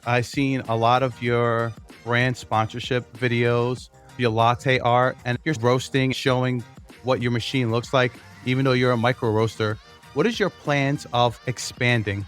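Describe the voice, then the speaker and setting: A man's voice: mid-range voice, flat delivery, measured pace, soft background music. A man hosting a podcast, reading a prepared opening and then asking conversational questions. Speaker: podcast host.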